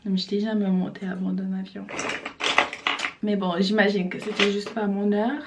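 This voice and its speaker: A woman's voice: mid-range voice, flat delivery, normal speed, muffled recording, heavy background noise. A young woman from Senegal, chatting casually to camera as she does her makeup at home, with loose talk full of fillers. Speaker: vlogger. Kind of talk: casual chat while doing makeup.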